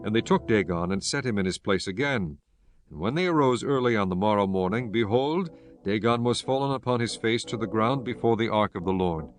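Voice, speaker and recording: A man reading scripted scripture narration in a solemn, measured manner. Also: on the low side, animated, faint music behind.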